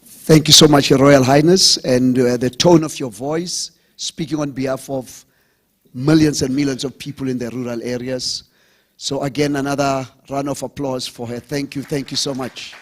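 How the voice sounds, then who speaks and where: A man's voice: mid-range voice, steady tone, moderate pace. A man hosting a live event, rallying the audience and switching languages. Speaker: event host. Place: conference hall with audience.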